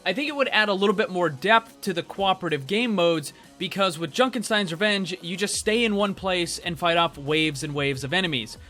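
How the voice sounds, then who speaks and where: High-pitched, bright-voiced man hosting gaming commentary. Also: a little fast, hardly any pauses. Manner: conversational, explanatory, addresses viewers directly. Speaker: video game news host. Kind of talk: casual commentary and speculation for viewers. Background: background music.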